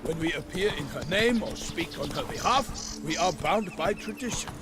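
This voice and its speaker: An actor playing a proud, earnest knight errant in a video game, speaking in a formal, flowery, theatrical way. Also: male voice, a little high, animated, moderate pace, close to an everyday voice. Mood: courtly, earnest. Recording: music behind.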